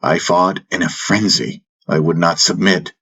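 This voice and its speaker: A man's voice: deep voice, fairly even intonation, a little slow, bright, forceful tone, husky. A man narrating a fantasy audiobook in the first person, with intense, solemn, dramatic storytelling. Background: music bed.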